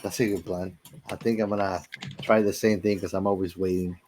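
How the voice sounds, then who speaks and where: A man's voice: sits low, flat delivery, a little fast, darkish tone. A man co-hosting a podcast, chatting casually in a jokey, teasing way. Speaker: podcast co-host. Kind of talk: casual chat.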